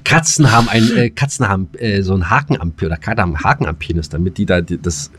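A man's voice: low-pitched, lively intonation, racing, a bit bright, husky. A man co-hosting a podcast in casual, rambling banter, mock-formal and joking. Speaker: podcast co-host.

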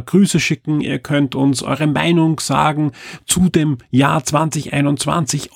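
A middle-aged man hosts his podcast alone, his voice a little hoarse. He talks in a loose, upbeat, chatty way with a gravelly edge. The sound is dry and close, with no room around it. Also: medium pitch, a little mellow, no echo. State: slightly hoarse. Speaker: podcast host. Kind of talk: solo podcast announcements.

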